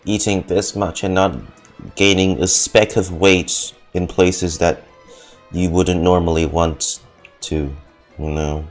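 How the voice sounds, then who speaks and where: A man giving casual live commentary on a game as a streamer, halting and wry. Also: sits low, flat delivery, faint music behind. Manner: halting, wry, self-joking.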